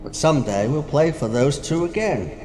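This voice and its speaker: An actor playing Death personified as a sly, taunting figure with grandiose, mocking declamation in a stage-like audio drama. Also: male voice, a little high, lively intonation, moderate pace, lightly acted. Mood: wry. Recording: echoey hall, faint noise.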